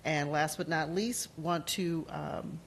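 A woman in her forties, a city council member, giving announcements at a meeting, halting with many ums and uhs. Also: deep voice, fairly even intonation, vocal fry, a little hiss or hum. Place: council chamber. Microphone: desk gooseneck mic.

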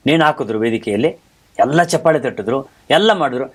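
A man's voice: mid-range voice, expressive, fast talker, breathy edge. A man hosting a conversational talk show, telling stories in an emphatic way and repeating phrases for effect. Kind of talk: animated conversational storytelling about theatre.

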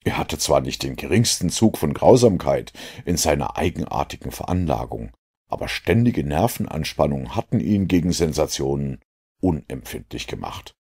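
A man reads literary narration in a low voice. It has a husky grain, and his intonation moves widely as he tells the detective story. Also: big pitch swings, normal speed, a little mellow. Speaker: audiobook narrator.

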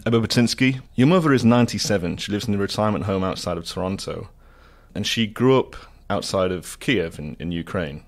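A man's voice: on the low side, a little fast. A man interviewing a guest, asking long, carefully detailed questions in an earnest way. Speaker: interviewer, associate editor.

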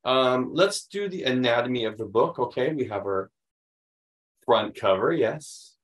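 A middle-aged American man reads a picture book aloud to young children, animated and expressive, adding chatty explanations. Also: medium pitch, unhurried. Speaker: children's read-aloud host.

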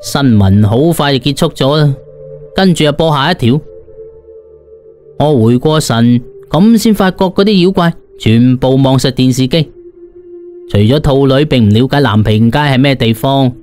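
A man's voice: mid-range voice, moderate pace, a little mellow, soft background music. A man with a Cantonese accent narrating a first-person audiobook in a colloquial, dramatised storytelling style.

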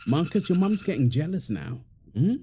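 A man's voice: a little high, very animated, moderate pace, warm tone, slight background noise. A man playing a playful, teasing young husband and doting father in an intimate, affectionate domestic ASMR roleplay. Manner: teasing, affectionate, chuckling banter. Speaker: roleplay audio performer.

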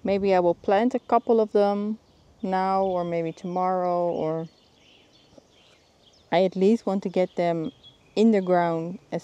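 A Dutch woman filming herself outdoors in her garden with a handheld phone. She talks casually and often pauses to search for plant names. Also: lowish voice, mellow. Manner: searching for words, thinking aloud. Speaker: gardening vlogger.

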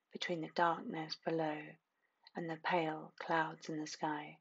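A woman reads a hypnotic guided meditation in a low voice with a husky, breathy edge. Her delivery is very slow and hushed, with phrases repeated soothingly between long pauses. The recording sounds dull and muffled.

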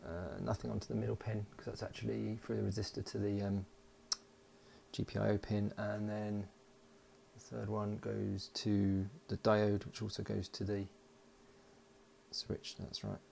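A man with a fairly low, gravelly voice talks through hobby work at a bench in a casual, halting way. He sounds like he is thinking aloud, informally, with a faint noise in the background. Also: monotone, darkish tone. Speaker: electronics hobbyist presenting a build. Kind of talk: casual hands-on tutorial commentary. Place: indoors at a workbench.

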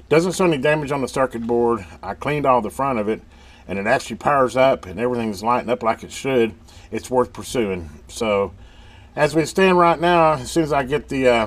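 A man talking casually and haltingly in a folksy way, thinking aloud as he hosts a hands-on repair video at an indoor workbench. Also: mid-range voice. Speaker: host of a radio repair channel.

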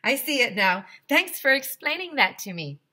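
A woman voicing a slow-witted, puzzled male ice cream vendor in a storybook, hesitant and drawn-out. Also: sits high, sing-song, pushed and bright, slightly rough, pitched above the performer's own voice, full character voice. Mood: enlightened.

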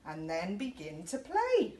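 A woman's voice: mid-range voice, huge pitch swings, a little slow, darkish tone. A middle-aged woman, reading a children's picture book aloud in a chatty, encouraging way. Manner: chatty, encouraging, breaks off to point things out. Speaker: children's story time presenter for a youth service. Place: small indoor youth room. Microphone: camera a few feet away. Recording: roomy, distant camera mic with faint noise.

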